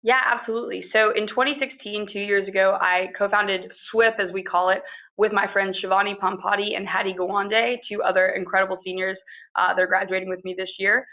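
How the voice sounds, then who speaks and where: A woman's voice: medium pitch, fairly even intonation, brisk, phone-quality sound. A woman in her early twenties with an American accent, speaking as a student panelist in an earnest, fluent, chatty way. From Kentucky, United States. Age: early 20s. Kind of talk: conversational personal introduction at a panel.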